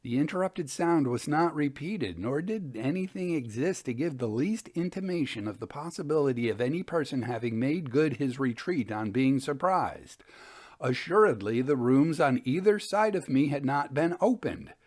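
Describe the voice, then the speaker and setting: Measured man telling old ghost stories. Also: medium pitch, expressive, darkish tone. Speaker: podcast host and reader of ghost stories. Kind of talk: dramatic reading of Victorian ghost stories with casual commentary.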